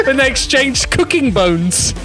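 Giggling man with a high voice. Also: sing-song, measured pace, fairly bright tone, soft background music. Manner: giggly, keeps laughing mid-sentence. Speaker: podcast host. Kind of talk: comedic group podcast, reading out a mad-libs gossip segment.

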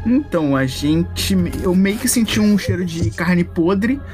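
A woman's voice: fairly low pitch, expressive, measured pace, a little mellow. A woman with a Brazilian accent chatting casually and in slang as a player in a tabletop game. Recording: music behind the voice.

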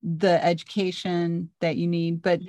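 A woman with a soft voice co-hosting a discussion, pausing often as she talks. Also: fairly low pitch, little pitch movement, no room sound. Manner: pauses while speaking. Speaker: co-host. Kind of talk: conversational discussion.

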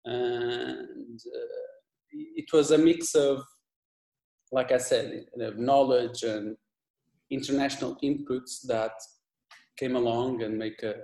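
Portuguese guy talking slow, kinda dark mellow voice, stops and restarts a lot, roomy echo. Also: mid-range voice, fairly even intonation, vocal fry. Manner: halting, many restarts and fillers. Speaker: video editor, guest. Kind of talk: casual interview chat.

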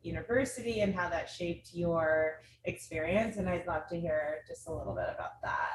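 A young woman co-hosting a student podcast, playful and quick with joking asides. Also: on the low side, big pitch swings. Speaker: podcast co-host. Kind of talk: casual podcast conversation. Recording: roomy, distant mic, clear hiss.

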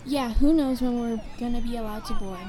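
A woman acts a cruise passenger who takes the lead in an amateur audio drama. The voice is high, with clear noise in the recording. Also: fairly even intonation, a little fast, natural voice. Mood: friendly. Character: friendly female cruise passenger, quick to lead.

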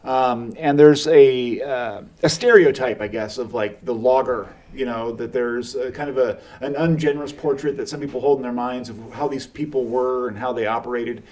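A man's voice: mid-range voice, normal speed, few pauses, a little mellow. Thoughtful male interviewer, slightly distant. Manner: thoughtful, searching for words. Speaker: interview host. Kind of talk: interview questions.

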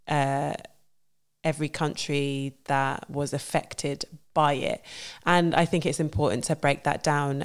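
A woman's voice: low voice, a little mellow, clear, resonant tone. A young woman hosting her own show, explaining history in a chatty way with fillers and self-corrections. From not France. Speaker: history educator and show host. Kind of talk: casual spoken history explainer.

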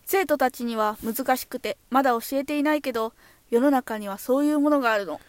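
A woman playing a brisk, strict but encouraging schoolteacher of samurai pupils, instructing emphatically. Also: high voice, no echo, voice pushed down, lightly acted.

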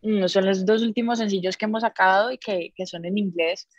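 A young woman with a Colombian accent speaks as an interview guest, relaxed and upbeat. Her voice sits in a middle pitch and comes across slightly dull, as if over a call. The sound is dry. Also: a little fast, dry recording. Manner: relaxed and upbeat, with fillers like "pues". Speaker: musician guest. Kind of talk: casual interview.

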